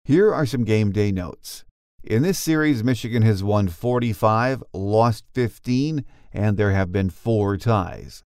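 Low-voiced American man hosting a sports show. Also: a little slow, darkish tone, clear, resonant tone, dry recording, clean studio sound. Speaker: sports podcast host. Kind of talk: sports show hosting, partly scripted notes. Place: dry studio.

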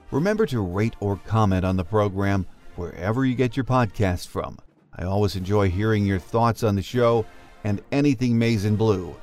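A man's voice: on the low side, a little mellow. A man hosting a sports podcast, delivering scripted commentary as the show's presenter. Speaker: podcast host.

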